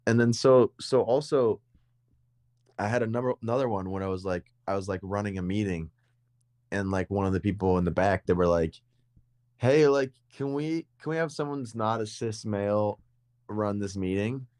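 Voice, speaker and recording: Young male podcast co-host, deep voice, rambling, repeats himself. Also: moderate pace, darkish tone, no room sound. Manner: rambling, repeats words and restarts often. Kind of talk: casual conversation.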